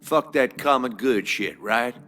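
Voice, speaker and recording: An actor playing a cold, corrupt small-town villain with a folksy, threatening manner in a video game. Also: male voice, mid-range voice, a little slow, sharp tone, soft background music. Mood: bitter, threatening.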